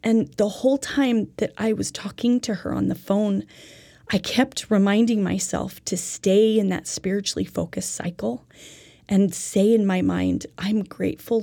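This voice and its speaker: A woman's voice: mid-range voice, normal speed, gravelly, mellow, slightly rough, dry recording. A woman telling a personal faith story as part of a religious lesson, in an earnest, reflective way. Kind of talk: personal testimony told as a teaching story.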